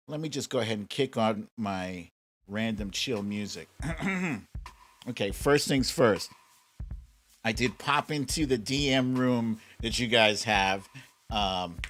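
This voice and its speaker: A middle-aged American man hosting a casual livestream, chatting informally and playfully with his viewers. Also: mid-range voice. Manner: informal, playful, drawn-out shout-outs to viewers. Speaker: livestream show host.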